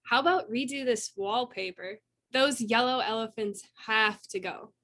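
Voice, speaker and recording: A woman's voice: fairly high pitch, normal speed, fairly bright tone, natural voice. A woman playing a sassy, bossy frontier woman in a radio play, blunt and sarcastic.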